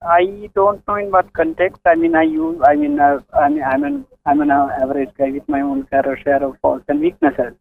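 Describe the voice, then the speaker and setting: A man's voice: on the high side, very animated, moderate pace, creaky, few pauses. A man talking hesitantly and politely on a phone, repeating himself as he explains. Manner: hesitant, repetitive, polite protesting. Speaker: prank call victim. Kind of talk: phone conversation. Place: office. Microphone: telephone. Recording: tinny narrow phone line.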